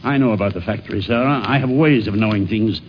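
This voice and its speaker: An actor playing a confident middle-aged newspaper owner courting an old flame, earnest and courtly in 1950s radio drama style. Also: male voice, fairly low pitch, quick pace, natural voice. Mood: insistent. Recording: dull, noisy vintage radio recording.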